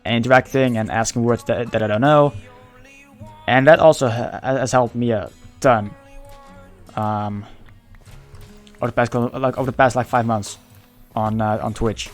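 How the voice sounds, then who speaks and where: A male streamer whose first language is Dutch chats casually in English with a lingering Dutch accent. His pitch sits in the middle of the male range. He talks haltingly, restarting phrases as he hunts for words, over faint background music. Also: steady tone. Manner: halting, searching for words, many repeated starts. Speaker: livestreamer. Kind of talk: casual livestream chat.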